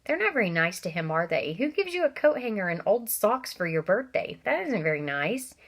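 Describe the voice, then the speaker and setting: A woman, a teacher, reading a novel aloud to children, expressive and stopping for asides to her listeners. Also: medium pitch, a little fast.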